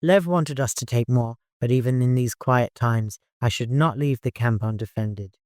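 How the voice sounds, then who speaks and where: A man voicing a dutiful, experienced fighter with a formal, measured manner in an audiobook. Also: medium pitch, darkish tone, no room sound, studio quality, natural voice. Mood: dutiful, serious.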